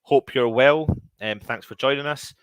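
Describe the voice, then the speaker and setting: A man hosts a casual live stream in a bright, forward voice, a little sniffly from a cold. He talks straight to viewers in a chatty, informal way. The sound is dry and close. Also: medium pitch, moderate pace, no room sound, muffled recording. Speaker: host of a daily football fan channel show.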